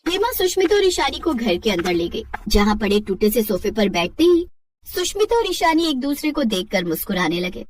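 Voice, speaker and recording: A woman's voice: on the high side, sing-song, normal speed, slight background noise. A woman narrating a scripted moral story as a storyteller.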